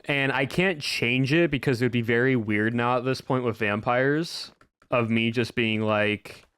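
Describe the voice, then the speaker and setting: A man streaming from home, chatting casually with his viewers in a somewhat monotone voice, often restarting his sentences. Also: medium pitch, measured pace, clean tone, no echo. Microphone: home streaming microphone with a filter.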